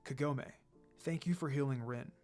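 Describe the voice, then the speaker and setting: A narrator as a calm, reserved demon lord. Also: mid-range voice, moderate pace, faint music behind, pitched below the performer's own voice, lightly acted. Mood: grateful.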